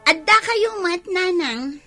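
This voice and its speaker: A woman's voice: extremely high pitch, lively intonation, slow pace, bright, forceful tone, no room sound, faint music behind, full character voice. A woman with an Ilocano accent playing a vain, brazen young woman who shrugs off her debts in a radio drama. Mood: flippant. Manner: defiant, flippant.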